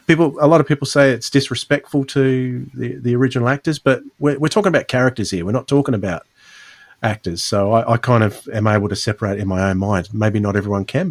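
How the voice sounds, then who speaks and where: A man hosting a podcast in a casual, conversational style, restarting phrases as he thinks aloud. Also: on the low side. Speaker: podcast host. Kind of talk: casual chat.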